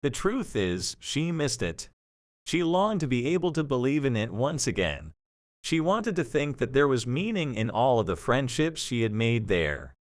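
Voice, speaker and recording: A man reads as the narrator of a dramatic story. His mid-pitched voice moves with lively, varied intonation, and his delivery is measured and expressive. The sound is dry and close. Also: no echo.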